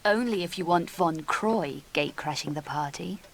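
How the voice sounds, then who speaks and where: A poised young adventurer, voiced by a woman for a game cutscene, speaks in a lowish voice. She is dry and self-assured, over faint noise. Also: moderate pace, close to an everyday voice. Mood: cool, wry. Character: cool, confident young female adventurer. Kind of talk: video game cutscene acting.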